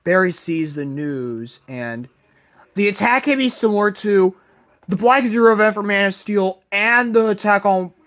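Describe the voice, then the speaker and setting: A man narrating a fan-made plot idea in a halting way, in short broken phrases. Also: high voice, a bit bright.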